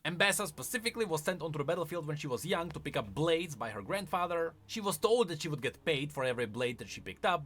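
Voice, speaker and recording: A man's voice: a little high, fairly bright tone. A man giving semi-scripted explainer commentary on video, emphatic and with wry asides. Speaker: video essayist on game lore.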